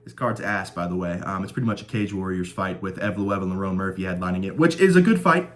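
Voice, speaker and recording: A man's voice: sits low, expressive, quick pace. A young man hosting a casual fight prediction show, joking and rambling, slightly stuffed up from a cold. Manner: laid-back, joking, rambling with fillers. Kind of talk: casual sports prediction chat.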